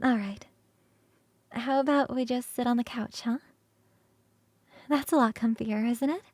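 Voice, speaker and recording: Woman doing a cute succubus roommate for asmr roleplay, high voice, super dry close mic, playful n coaxing, kinda halting. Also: fairly even intonation, a little mellow, no room sound. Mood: soothing, tender. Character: affectionate, playful succubus roommate, young-sounding, eager to cuddle. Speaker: streamer performing scripted roleplay. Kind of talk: ASMR roleplay, spoken to the listener.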